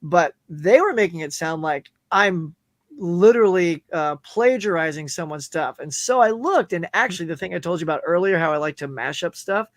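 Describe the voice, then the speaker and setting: High-voiced animated middle-aged man. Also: moderate pace, no room sound, studio quality. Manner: animated storyteller who acts out what others said. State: losing his voice. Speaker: guest YouTuber. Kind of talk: live stream chat.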